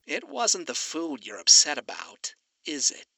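Someone playing a suave male demon in an audiobook, with a very bright, edged voice that swoops in pitch. He drawls slowly and teasingly, sinister and predatory, in a dry, close recording. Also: wildly swooping pitch, vocal fry, no room sound, full character voice. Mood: quietly probing. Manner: theatrical, drawling, menacing. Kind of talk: acted audiobook dialogue.

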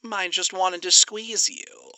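Performer as a suave demon, sharp bright voice. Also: medium pitch, fairly even intonation, slow, dry recording, voice pushed up, exaggerated voice, low-quality recording. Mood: soft menace. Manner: theatrical, drawling, menacing. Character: male radio demon, suave, sinister, teasing, predatory. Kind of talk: acted audiobook dialogue.

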